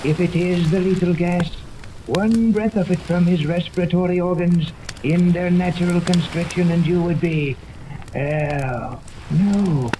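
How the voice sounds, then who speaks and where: A sinister old mastermind in a vintage radio serial. He speaks slowly and pompously, with formal, imperious diction, through a clearly noisy old recording. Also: high voice, steady tone. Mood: brisk, commanding. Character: haughty sinister old mad scientist mastermind, male. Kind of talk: 1930s radio serial acting.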